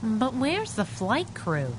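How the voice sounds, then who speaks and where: A woman's voice: on the high side, huge pitch swings, takes it slowly, heavily acted. Plucky female crimefighting sidekick, vintage radio serial; sassy, wry, light background noise. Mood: wry banter. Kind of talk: 1930s-style radio adventure acting.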